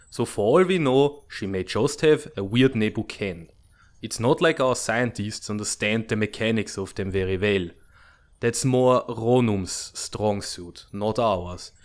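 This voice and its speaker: A man's voice: medium pitch, lightly acted. A man playing a pragmatic, impatient military strategist with a blunt, matter-of-fact manner in a comic fandub. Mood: dismissive. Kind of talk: dramatic comic fandub acting.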